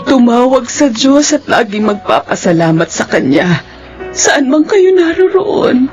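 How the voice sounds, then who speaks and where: A gentle, pious older stepmother, voiced by a man in a radio drama, speaking softly and slowly. The voice sits high. Faint music plays under dull, lo-fi audio. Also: big pitch swings, heavily acted. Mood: tender. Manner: soft-spoken, pious.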